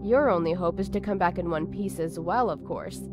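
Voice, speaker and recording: A woman's voice: lowish voice, normal speed, music in the background, lightly acted. An actress playing a cold, commanding woman in authority in a sci-fi visual novel, firm and matter-of-fact. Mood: cool, firm. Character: cold, commanding female authority over angels. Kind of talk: scripted visual novel voice acting.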